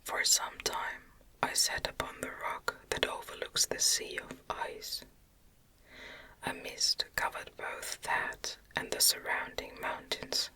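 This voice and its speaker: A woman reads a gothic novel aloud in a gentle, measured whisper as an ASMR narrator. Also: poor audio. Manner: gentle, measured, soft delivery. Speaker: audiobook narrator.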